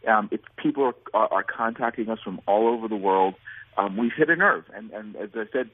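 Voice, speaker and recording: A man's voice: lowish voice, a little fast. An American man being interviewed as a guest, talking earnestly and at length, often restarting his sentences. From United States. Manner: earnest, rambling with many restarts. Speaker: guest, nonprofit founder. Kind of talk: phone interview. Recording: phone line.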